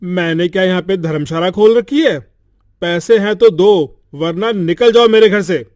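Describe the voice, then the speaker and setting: A woman voicing a harsh, greedy male landlord who talks in a gruff, demanding way. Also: lowish voice, normal speed, darkish tone, no echo, clean studio sound, natural voice. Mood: angry.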